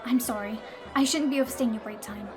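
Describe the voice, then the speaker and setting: A woman's voice: on the high side, fast, music in the background, crowd noise, natural voice. A woman playing an earnest young female pony traveler in an audio drama, polite, eager and emotional. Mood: worried, explaining.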